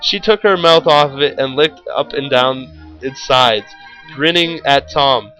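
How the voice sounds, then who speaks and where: A man's voice: mid-range voice, measured pace, sharp tone, faint music behind, rough recording. A man reading a story aloud in a theatrical, mock-serious way. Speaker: story reader, show host.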